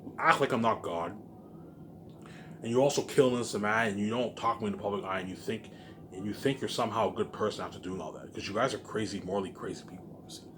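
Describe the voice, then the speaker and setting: A man's voice: lowish voice, quick pace, noisy background. A man in an agitated, confrontational unscripted rant, repeating himself and swearing often. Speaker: online video maker.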